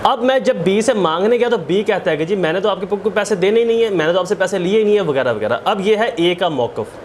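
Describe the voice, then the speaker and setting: Pakistani guy teaching law, pretty high voice, says key points over again, noisy background. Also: expressive, fast. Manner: emphatic, repeats and rephrases key points. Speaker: law lecturer. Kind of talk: explanatory lecture with English legal terms.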